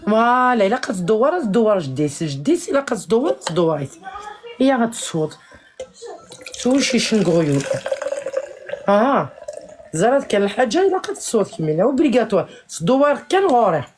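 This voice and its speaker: A woman's voice: on the high side, sing-song, moderate pace, pauses often, slight background noise. A woman from France ranting on a live stream, scolding her commenters in an indignant, confrontational way. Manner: indignant, confrontational, swings between shouting and muttering; addresses viewers directly, scolds commenters.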